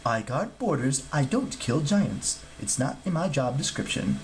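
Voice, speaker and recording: A man's voice: mid-range voice, sing-song, unhurried, a little hiss or hum. A man voicing a fussy, officious statue god who shrieks indignantly about rules in a storybook reading. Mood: huffy complaint.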